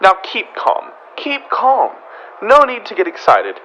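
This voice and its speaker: A man plays an officious store detective in an old-time radio comedy. He is condescending and firm, with a fairly high voice over faint background noise. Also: wildly swooping pitch, a little slow, sharp tone, lo-fi sound, full character voice. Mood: condescending, suspicious. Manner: firm, patronizing, clipped questioning.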